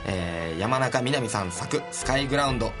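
Male radio presenter, scripted segment intros, lively intonation, music bed underneath. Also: medium pitch, brisk. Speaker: radio show host.

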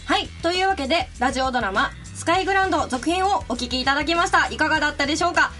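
High-voiced woman radio host over music. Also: very fast, bright, forceful tone. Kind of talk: scripted radio show closing.

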